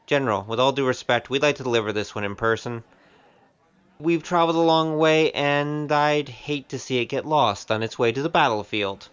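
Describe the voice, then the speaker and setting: A man's voice: mid-range voice, steady tone, normal speed, fairly bright tone, clean tone, highs cut off, lightly acted. A man playing a cheeky, easygoing young wandering gambler in an audio drama, with a wry, glib delivery. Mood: polite, firm. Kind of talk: scripted audio drama acting.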